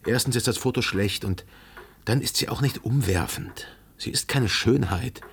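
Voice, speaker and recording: A man playing a reflective, lovelorn narrator recalling his past in a literary radio drama, measured and contemplative. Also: lowish voice, vocal fry, a little hiss or hum.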